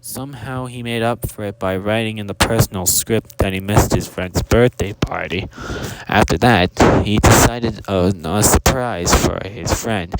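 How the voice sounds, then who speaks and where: A man's voice: on the low side, measured pace. A man voicing a forgetful, kindly storyteller hippo who rambles formally and keeps losing his thread. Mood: musing. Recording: very noisy amateur recording with clicks.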